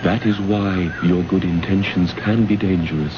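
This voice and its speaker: An actor playing a calm, cryptic man from another planet in a radio drama, speaking in a measured, deliberate, grave way. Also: sits low, lightly acted. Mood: guarded. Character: calm, cryptic alien man guarding his world. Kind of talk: old-time radio drama acting. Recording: noisy, muffled old radio recording.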